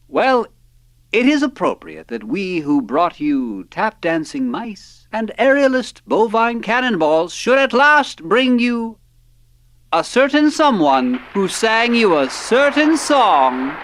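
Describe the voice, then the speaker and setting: Grandiose, high-strung variety host in a radio play: very high voice, slow delivery. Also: male voice, sing-song, a bit bright, exaggerated voice. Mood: grand, showy. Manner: flamboyant, grandiose showman. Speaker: show host. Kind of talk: theatrical audio drama acting.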